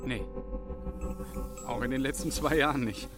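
An actor playing an earnest, candid interviewee, answering hesitantly in a naturalistic radio drama. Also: male voice, mid-range voice, measured pace, over music, lightly acted.

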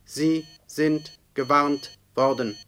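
An actor playing an emotionless bureaucratic machine in a German radio play, reading official notices in a clipped, officious way. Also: male voice, on the high side, steady tone, slow pace, a bit bright, slight background noise. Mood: impersonal, stern.

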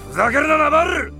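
High-strung, brutish bandit chief. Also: male voice, exaggerated voice. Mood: sneering, angry. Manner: rough, coarse shouting. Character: brutish, hot-tempered male bandit chief, sneering and menacing. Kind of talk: anime-style audio drama acting.

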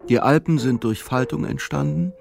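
A man narrating a literary radio play in a measured, sober, reflective way. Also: medium pitch, gravelly, a little mellow, faint music behind.

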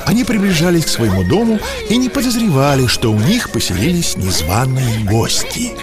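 A man's voice: medium pitch, sing-song, moderate pace. A man narrating a children's fairy tale as a scripted audio play. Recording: music behind the voice.

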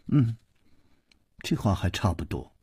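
A man voicing a spirited young princess in a storybook, petulant and exclaiming, quick to tease, rage or weep. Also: sits low, big pitch swings, darkish tone, husky, no echo, clean studio sound, full character voice. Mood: pouting. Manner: petulant, exclaiming, stammering when upset.

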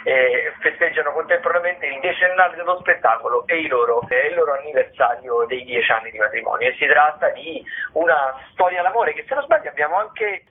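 An Italian man presenting theatre listings on the radio in a conversational, discursive way, often halting and correcting himself. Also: fairly high pitch, lively intonation. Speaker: radio arts programme presenter. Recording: muffled, narrow phone-like radio sound.

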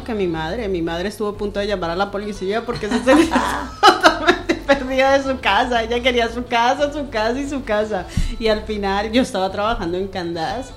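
A woman from Colombia chatting on a radio panel, lively and laughing often. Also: mid-range voice. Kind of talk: casual radio chat.

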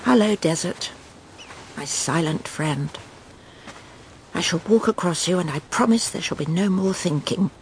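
A woman's voice: fairly low pitch, a little slow, pauses often, slight background noise. An actress playing a proper, self-satisfied middle-aged wife and mother in a radio drama, prim and clipped, anxiously reassuring herself. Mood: musing, uneasy. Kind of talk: radio drama acting, dialogue and inner monologue.

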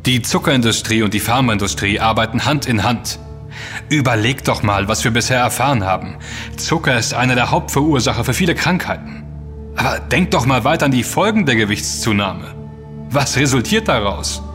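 Actor as an intense investigator in a reverberant hall. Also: male voice, medium pitch, animated, brisk, natural voice. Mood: insistent. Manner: emphatic, dramatic, accusing. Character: intense, self-assured male investigator exposing a conspiracy. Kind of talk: German audio drama acting. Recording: long reverb with music underneath.

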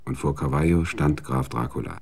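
A man narrating a radio drama in a measured, literary way. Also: very deep voice, vocal fry. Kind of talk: scripted narration. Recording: faint music behind.

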